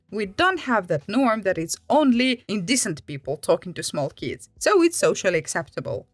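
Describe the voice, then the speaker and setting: A woman from Finland hosting a story channel, reading aloud in a dramatic, expressive way. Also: mid-range voice, no room sound. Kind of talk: animated read-aloud storytelling. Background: background music.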